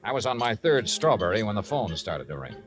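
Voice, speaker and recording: A man's voice: fairly low pitch, very animated, brisk. An actor playing a shrewd, wry male investigator in a 1950s radio drama, with a dry, clipped delivery. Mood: brisk, reflective. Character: wry, shrewd male investigator narrating his own case. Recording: 1950s radio recording with music.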